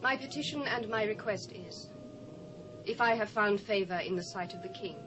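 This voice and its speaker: A woman acting a courteous, resolute British queen in a scripture audio drama. Her voice is medium in pitch and measured, over faint cassette hiss. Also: close to an everyday voice. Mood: courteous pleading. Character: dignified young Persian queen, resolute and courteous.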